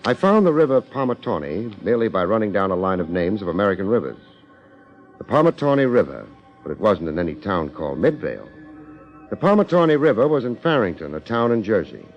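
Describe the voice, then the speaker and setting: An old, dull-sounding radio recording of a hard-boiled detective. His voice sits fairly low and moves in lively swings. He is wry and clipped, persuasive in the manner of 1950s radio drama. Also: a little fast, faint music behind. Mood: wry, brisk narrating. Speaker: lead actor and narrator of detective drama. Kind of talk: 1950s radio detective acting, narration and dialogue.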